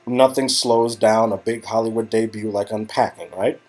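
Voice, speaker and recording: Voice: mid-range voice, fairly even intonation, normal speed, a little mellow, voice pushed down, close to an everyday voice. A performer voicing a self-deprecating, wisecracking young male Hollywood agent in an earnest, conversational game-dialogue reading. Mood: upbeat salesman.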